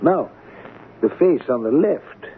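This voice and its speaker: An actor playing a courteous, devout, scholarly male art appraiser in a radio drama, speaking formally and with measured care. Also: a little high, animated, slight background noise. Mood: critical, exacting. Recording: muffled vintage radio broadcast.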